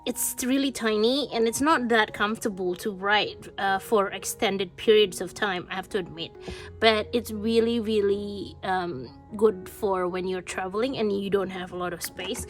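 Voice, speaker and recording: A woman vlogger chatting casually as she shows stationery on her desk, her voice a bit congested from a cold, with many fillers and restarts. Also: medium pitch. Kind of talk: casual show-and-tell chat. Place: indoors at a desk.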